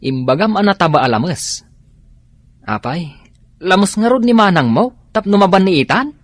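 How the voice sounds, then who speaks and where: A man's voice: high-pitched, big pitch swings, a little slow, dry recording, heavily acted. A man playing a dim, teasing fellow in comic radio drama banter. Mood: playful, teasing. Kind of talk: radio drama comedy acting.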